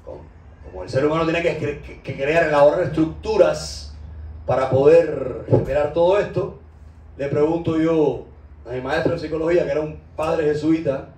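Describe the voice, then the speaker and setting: Cuban male preacher, high voice, fervent and conversational, roomy far-off recording. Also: fairly even intonation, moderate pace, darkish tone. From Cuba. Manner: impassioned, with loose conversational asides. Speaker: spiritual teacher. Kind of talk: informal sermon. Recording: roomy echo, distant mic.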